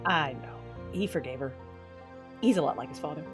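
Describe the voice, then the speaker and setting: A woman playing a proud, defiant Sith lord who narrates her own story in a science fiction audio drama, intense and deliberate. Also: low voice, lively intonation, music in the background. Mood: cool, wry narration.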